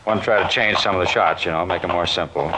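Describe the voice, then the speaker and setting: Casting: male actor as harried American movie-studio manager, talkative, low voice, quick delivery, old radio drama with muffled, noisy sound. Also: steady tone, lightly acted. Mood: harried, explaining. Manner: talkative, offhand. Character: harried, talkative male film production supervisor. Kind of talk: 1950s radio acting, conversational. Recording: dull, noisy vintage radio recording.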